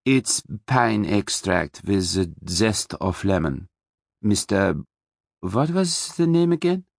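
A male narrator plays a tall, self-possessed German woman with a soft German accent. Her pitch is lifted and varied, her manner frank and brisk, in a dry audiobook recording. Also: sing-song, gravelly, no room sound, full character voice. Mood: gracious.

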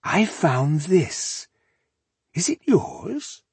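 A man's voice: high voice, very animated, creaky, darkish tone, breathy edge, dry recording, pitched above the performer's own voice, full character voice. Man voicing a velvety, mocking elf warlord. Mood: taunting. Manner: conversational, mockingly polite, drawn-out phrasing. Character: suave, mocking elf-like warlord, cruel and courtly.

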